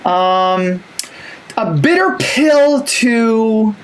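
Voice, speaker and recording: A Canadian man, a guest, explaining things in an easygoing, relaxed way. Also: high-pitched, very slow, low-quality recording. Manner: easygoing, explains with light chuckles. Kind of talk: relaxed conversation.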